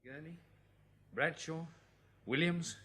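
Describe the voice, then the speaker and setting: A man's voice: on the high side, takes it slowly, sharp tone, husky, heavily acted. An actor playing a weary, serious English survivor in a radio drama, terse and practical as he leads others. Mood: weary, guilty.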